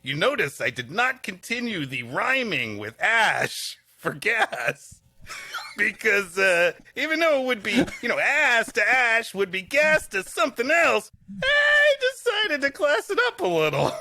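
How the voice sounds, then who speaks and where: A man's voice: very high-pitched, wildly swooping pitch, unhurried, bright, forceful tone, no echo. A man co-hosting a comedy podcast, improvising wry quips and asides in casual banter. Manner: quipping, wry.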